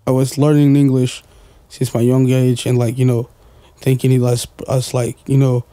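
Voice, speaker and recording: A man's voice: medium pitch, level tone, normal speed, mellow, clear, resonant tone, no room sound. A man, chatting casually as a podcast guest, rambling with lots of fillers and the odd swear word. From Portugal. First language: Portuguese. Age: 20s. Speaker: guest, music producer.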